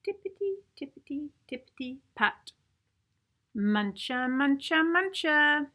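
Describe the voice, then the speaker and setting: Slow, high-voiced woman reading a storybook. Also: lively intonation, pushed and bright. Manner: theatrical, playful with repeated sound words and stressed adjectives. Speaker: children's book reader. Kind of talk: expressive storybook read-aloud for young children.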